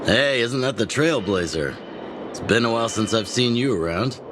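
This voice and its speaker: A man's voice: medium pitch, animated, normal speed, background noise, lightly acted. A man voicing a genial, teasing older chief and mentor of fighters in a video game. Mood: relaxed, teasing. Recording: music behind the voice.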